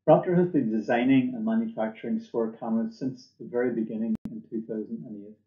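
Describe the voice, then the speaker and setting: A man's voice: mid-range voice, quick pace. A middle-aged man giving a scripted product presentation as a company presenter, recorded indoors at a desk. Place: indoor room, seen on webcam. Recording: echoey room, dull muffled audio.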